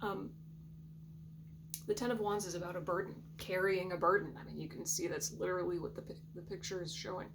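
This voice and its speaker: A woman giving a soft, reflective intuitive tarot reading in a groggy morning voice, often clearing her throat. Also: lowish voice, fairly quick. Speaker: tarot reader. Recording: steady background hum.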